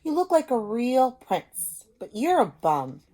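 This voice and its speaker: A woman's voice: fairly high pitch, big pitch swings, takes it slowly, heavily acted. Woman reading as a bold, blunt storybook princess. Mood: cutting, firm. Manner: loud, emphatic, blunt. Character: bold, smart young princess, blunt and defiant. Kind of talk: read-aloud storybook.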